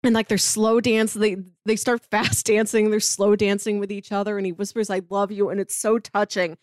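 A woman co-hosting a podcast in casual chat, telling stories animatedly, acting out quotes and slipping in quick asides. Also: mid-range voice, no echo, clean studio sound. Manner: animated storyteller, acts out quotes, quick asides, laughs often. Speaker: podcast co-host.

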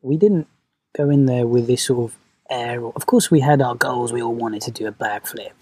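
Soft-spoken husky man. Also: medium pitch, normal speed, no echo. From Venezuela, raised in London. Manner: soft-spoken, hesitant, reflective. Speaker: guest, movement coach. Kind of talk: podcast conversation.

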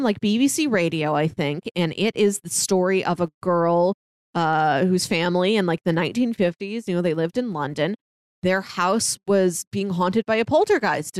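A woman's voice: mid-range voice, dry recording. Chatty woman co-hosting a podcast, dry close sound. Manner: chatty, conversational, heavy use of "like". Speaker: podcast co-host giving recommendations. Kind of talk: casual film discussion chat.

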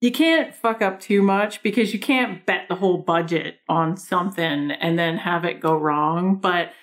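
Canadian woman, podcast guest; energetic storyteller who laughs easily. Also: on the low side, unhurried. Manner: energetic storyteller, laughs often, quotes people in voices. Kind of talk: podcast interview.

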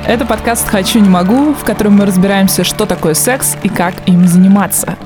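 A woman's voice: mid-range voice, a little fast, music in the background. A woman in her twenties hosting a casual podcast, playful and laughing often. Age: 20s. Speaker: podcast host. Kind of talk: casual comedic podcast chat.

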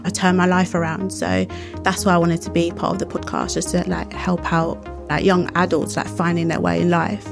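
A woman's voice: lowish voice, normal speed, gravelly, music in the background. A woman, chatting loosely about joining a podcast group, with many fillers. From South London. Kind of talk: casual reflective chat.

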